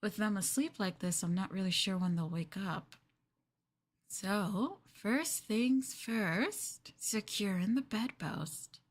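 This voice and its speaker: A woman performs a yandere girlfriend for an ASMR roleplay, sweet-talking and obsessively possessive. She coos and coaxes at an unhurried, slow pace, in a medium-pitched female voice. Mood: sweetly sinister. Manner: cooing, coaxing, with murmurs and giggles.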